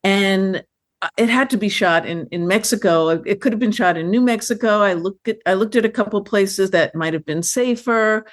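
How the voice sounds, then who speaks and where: A woman with a mid-pitched voice is being interviewed about her work. She tells her stories in a lively, chatty way, and she often restarts sentences and fills pauses with "you know.". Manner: animated, chatty storytelling with fillers and restarts. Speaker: filmmaker guest. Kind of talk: conversational interview.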